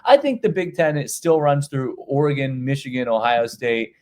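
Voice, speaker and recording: A middle-aged man chatting casually as a podcast co-host, voice sitting at an ordinary pitch. He rambles, doubles back on himself and repeats words as he thinks aloud. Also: moderate pace, darkish tone. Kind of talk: casual sports talk.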